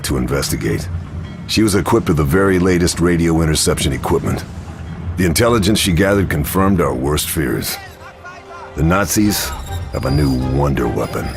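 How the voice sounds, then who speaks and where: An actor playing a grim, resolute World War Two sniper, narrating a video game cutscene in a terse, hard-boiled, gravelly voice. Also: male voice, animated, moderate pace, faint music behind, exaggerated voice. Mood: grim, determined. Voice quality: very deep, gravelly. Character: grim, resolute male WWII Allied sniper agent on a mission. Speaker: narrating protagonist.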